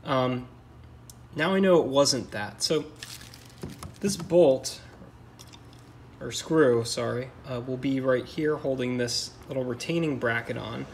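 A man's voice: medium pitch, slow, pauses often. Man, mid-range voice, casual explainer, matter-of-fact, hesitant, faint noise behind. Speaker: car repair vlogger. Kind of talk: casual hands-on explanation to camera.

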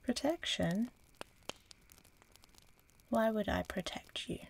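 Woman voicing a slow, husky ancient she-dragon. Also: medium pitch, full character voice, rough recording. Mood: disdainful, probing. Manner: slow, deliberate, breathy, teasing. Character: ancient female dragon, proud, curious, menacing. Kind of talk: ASMR audio roleplay.

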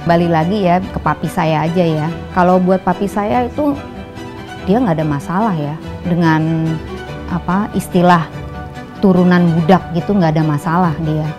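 Interviewee, older woman, low-pitched, relaxed chatty talk at home, faint music behind. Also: moderate pace. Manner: chatty, relaxed, many fillers. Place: indoor home room.

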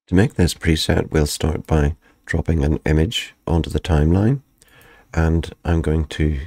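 A man, a video editing tutor, calmly walking step by step through a software tutorial over a screen recording, his voice slightly croaky after the flu. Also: rumbling low, no room sound. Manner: calm, methodical step-by-step explaining.

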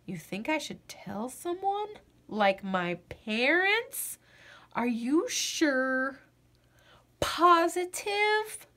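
Woman voicing an anxious little boy frog, high-pitched. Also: heavily acted. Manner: hesitant, confiding, expressive. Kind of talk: children's picture-book read-aloud.

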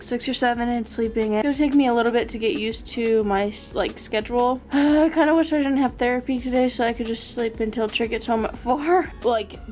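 Brief: young woman, tired, chatty vlog talk to a close phone mic, slightly high voice, music under it. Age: young adult. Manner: chatty and sleepy, talking to a handheld camera. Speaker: vlogger. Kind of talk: casual vlog chat. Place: car and home, in and out of doors. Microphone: phone or camera held close.

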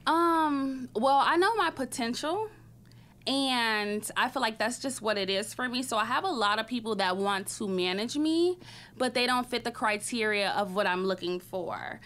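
A young woman from Wisconsin speaks into a studio boom mic with an easy, matter-of-fact delivery. Her voice sits slightly high, and she laughs easily between answers. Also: animated, normal speed, a bit bright. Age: young adult. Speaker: recording artist guest. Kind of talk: casual interview chat. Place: podcast studio with a large window. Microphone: studio boom mic, headphones.

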